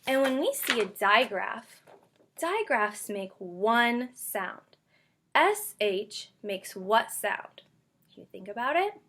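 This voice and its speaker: A woman's voice: on the high side, sing-song, fairly bright tone, slight background noise. A woman speaking as an elementary school teacher to young children, guiding them through a read-aloud phonics lesson with questions, repetition and carefully sounded-out words. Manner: stretches out and sounds out words slowly.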